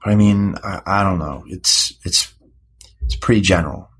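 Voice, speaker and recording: Man playing a low, husky, moody teenage boy. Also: a bit bright, full character voice, rough recording. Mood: defensive, reluctant. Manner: hesitant, halting, repeats words. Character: moody, defensive high school boy therapy patient. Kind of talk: naturalistic audio drama acting.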